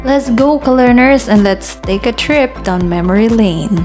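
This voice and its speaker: A woman's voice: fairly high pitch, expressive, moderate pace. A woman presenting and narrating a scripted radio drama as its host. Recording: music playing behind the voice. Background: background music.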